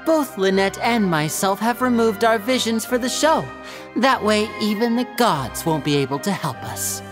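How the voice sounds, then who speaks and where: A woman's voice: mid-range voice, animated, normal speed, music in the background, natural voice. A woman voicing a polished, charming young male stage magician in a video game, polite and theatrical like a showman. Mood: theatrical showmanship. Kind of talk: video game character acting.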